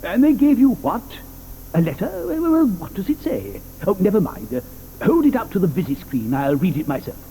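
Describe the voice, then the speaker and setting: An excitable, eccentric chocolate maker, in a very high, lively voice that leaps around as he exclaims. Warm and mellow in tone, with a faint hum under the old audio drama recording. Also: fairly quick, poor audio. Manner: excitable, theatrical, whimsical exclamations. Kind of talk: 1980s radio drama acting.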